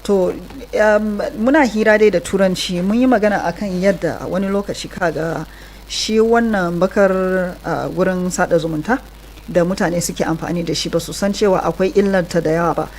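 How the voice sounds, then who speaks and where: A woman's voice: fairly low pitch, brisk, darkish tone, a little hiss or hum, low-quality recording. A Nigerian woman hosting a bilingual radio talk show, putting questions to a guest and addressing listeners. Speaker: radio host. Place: radio studio.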